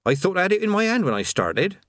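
A man's voice: high voice, sing-song, quick pace, a bit bright, no room sound, clean studio sound, voice pushed up. A man voicing the cheerful, absent-minded creator of the universe, who rambles like a chatty tradesman. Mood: breezy. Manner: chatty, rambling, casual workman's talk.

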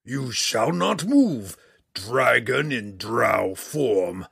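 A man voicing a huge, proud male red dragon who speaks in a slow, booming, menacing way in a storybook reading. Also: very animated, gravelly, fairly bright tone, slightly rough, no room sound, studio quality, heavily acted. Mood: roaring.

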